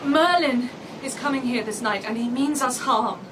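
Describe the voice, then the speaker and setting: A frightened, protective sister, played by a woman in a radio play. Her high voice pleads earnestly and urgently, with clear ambience noise behind. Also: moderate pace, natural voice. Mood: urgent, pleading. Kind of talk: radio drama acting.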